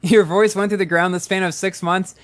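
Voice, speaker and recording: A man's voice: high-pitched, steady tone, fairly quick, faint music behind. A man in his early twenties chatting casually while livestreaming a game and reading chat, rambling and often repeating words as he restarts. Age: early 20s. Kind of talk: casual live-stream chat.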